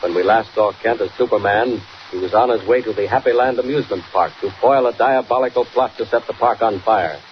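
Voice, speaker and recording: A man's voice: fairly low pitch, brisk. An American man narrating a 1940s radio serial in an emphatic, declamatory, dramatic style. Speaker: announcer, narrator. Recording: muffled, hissy old 1940s radio recording.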